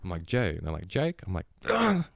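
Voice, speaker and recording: A man's voice: sits low, wildly swooping pitch, a little fast, vocal fry, no room sound. A man hosting a podcast, chatting casually and rambling with lots of "like" and restarts. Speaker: podcast host. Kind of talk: casual chat.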